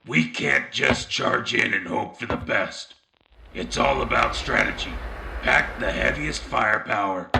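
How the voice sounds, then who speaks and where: Man acting as a gravelly, urgent war correspondent. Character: gruff urgent military war correspondent called Bulldog. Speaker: field reporter. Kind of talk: scripted wartime radio broadcast. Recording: roomy, noisy battlefield ambience with vehicle rumble.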